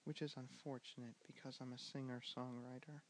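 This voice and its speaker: A young man with a soft, gentle voice teaching a board game in a soft-spoken ASMR style, slipping in and out of whispers and pausing with ums. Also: mid-range voice, flat delivery, a little hiss or hum, rough recording. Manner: drifting in and out of whisper, hesitant with many ums. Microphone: handheld camera mic.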